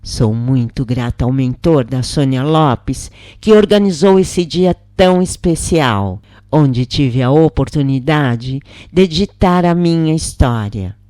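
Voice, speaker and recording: A woman reading a channeled spiritual story aloud in a calm, measured, reverent first-person voice. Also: very deep voice, no echo, studio quality. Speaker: reader of channeled spirit messages.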